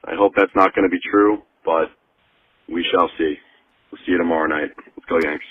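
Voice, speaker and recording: Male caller, low husky voice, casual voicemail delivery over a narrow phone line from a car. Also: steady tone, fairly quick, no echo. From Long Island, New York. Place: in a car, driving.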